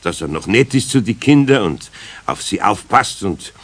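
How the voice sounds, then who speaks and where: A man playing an older, good-natured but grumbly carpenter with a Bavarian accent in a children's radio play, his voice husky. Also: fairly low pitch, expressive, normal speed. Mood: patiently explaining. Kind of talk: 1970s radio play acting.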